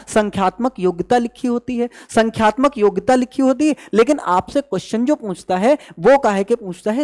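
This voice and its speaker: A young man teaching an exam-strategy class, speaking emphatically, repeating his points and asking rhetorical questions. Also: sits high. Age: young adult. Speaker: maths teacher at an exam coaching channel. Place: indoor teaching studio at a digital board.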